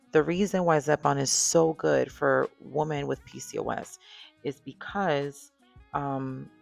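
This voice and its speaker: Podcast host, woman with a Dominican accent, very gravelly, candid and chatty, soft music underneath. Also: low-pitched. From Dominican background. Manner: chatty, candid, lots of fillers and asides. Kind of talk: casual personal talk to camera.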